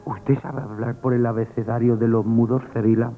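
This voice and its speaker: A man's voice: mid-range voice, flat delivery, normal speed, dark tone, natural voice. A man from Spain with a Spanish accent playing a timid, hesitant, comically frightened young working-class shop boy in a radio play. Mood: anxious, comic. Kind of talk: 1970s Spanish radio comedy theatre acting. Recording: tinny, band-limited old radio broadcast.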